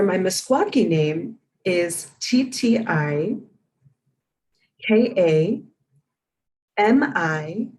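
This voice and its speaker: Woman, sign language interpreter, measured, steady, lowish pitch, distant mic on video call. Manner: measured, steady, spells names letter by letter. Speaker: sign language interpreter voicing a deaf panelist. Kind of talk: live spoken interpretation.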